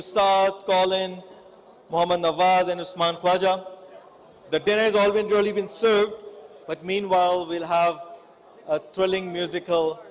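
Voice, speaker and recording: A man hosting a gala, switching between English and Hindi-Urdu, firing off rapid thank-yous and announcements. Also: high-pitched. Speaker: event host. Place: banquet hall.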